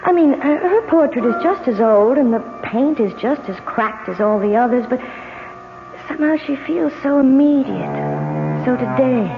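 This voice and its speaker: An actress playing a sensitive, anxious young American bride in a radio drama. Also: female voice, fairly high pitch, huge pitch swings, normal speed. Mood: entranced, uneasy. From United States. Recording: tinny old radio broadcast, music behind.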